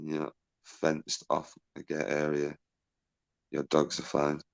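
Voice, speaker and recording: A man hosting a casual live stream, swearing freely, laughing a lot and bantering with callers in a loud voice. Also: completely flat delivery, slow pace, vocal fry. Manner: says he has a deep, loud voice. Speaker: livestream host.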